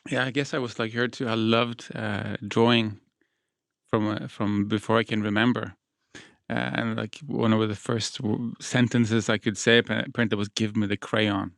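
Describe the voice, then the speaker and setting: Low, flat, gravelly man. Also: no room sound, clean studio sound. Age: 30s. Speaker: artist, panel guest. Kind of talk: casual storytelling.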